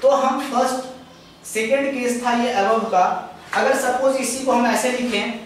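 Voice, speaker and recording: A young man teaching a grammar lesson at a chalkboard, explaining step by step, drawing out example sentences slowly, and answering his own questions. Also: extremely high pitch, steady tone, far from the mic, a little hiss or hum. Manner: mixes English phrases into Hindi explanations; asks questions and answers them himself. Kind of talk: classroom grammar lecture at a chalkboard. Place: classroom with a chalkboard. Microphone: far camera. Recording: echoey room recorded from afar.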